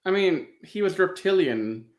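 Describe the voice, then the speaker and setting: A man's voice: high-pitched, expressive, measured pace. A man in casual conversation as a podcast co-host, in a roomy, echoey recording. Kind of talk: casual chat.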